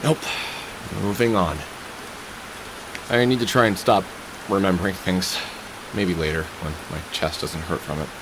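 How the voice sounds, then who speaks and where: A man's voice: sits low, steady tone, measured pace, pauses often, noisy background. An actor playing a weary, wry middle-aged man haunted by lost love, musing aloud in a video game. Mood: pained. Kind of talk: video game voice acting, inner monologue and dialogue.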